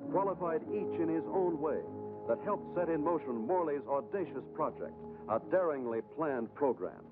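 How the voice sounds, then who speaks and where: A man's voice: medium pitch, lightly acted. A man playing a grave American newsreel announcer of the 1950s, with a formal, dramatic delivery. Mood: brisk, declarative. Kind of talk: 1950s newsreel scripted narration. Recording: tinny, band-limited old film soundtrack. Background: loud newsreel music.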